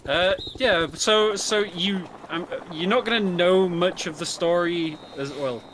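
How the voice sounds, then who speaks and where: A man giving casual, explanatory co-commentary on a video game in a halting way. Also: high voice, background noise. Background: game music.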